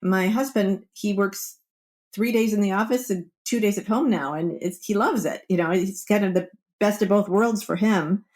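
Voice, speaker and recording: A woman's voice: medium pitch, normal speed, a little mellow. A woman speaking as a wellbeing coach in an interview, explaining her advice conversationally and voicing little example quotes. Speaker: wellbeing coach, podcast guest.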